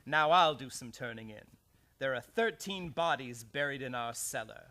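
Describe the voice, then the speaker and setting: An actor playing a cold-blooded murderous villain in an old-time radio play, speaking with slow menace. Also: male voice, medium pitch, expressive, pushed and bright. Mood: threatening. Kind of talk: live old-time radio drama acting.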